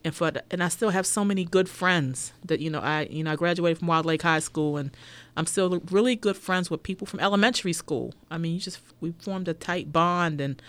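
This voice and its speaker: A middle-aged woman co-hosting a local radio show, reminiscing in a soft, heartfelt way. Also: low-pitched, dry recording. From United States. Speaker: radio co-host. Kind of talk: casual radio chat.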